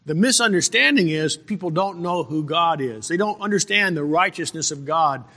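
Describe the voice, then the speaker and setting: A man preaching a verse-by-verse sermon, halting and repeating phrases, pressing points with rhetorical questions. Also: on the high side, animated, sharp tone. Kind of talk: sermon, verse-by-verse Bible exposition. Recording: reverberant hall with long echo.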